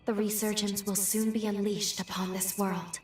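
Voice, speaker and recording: An actress playing a solemn, gentle mystic in a video game, speaking gravely and earnestly in formal words. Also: female voice, mid-range voice, fairly even intonation, normal speed, a little hiss or hum, close to an everyday voice. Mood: grave, foreboding. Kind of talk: dramatic video game acting. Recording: echoey, distant, music underneath.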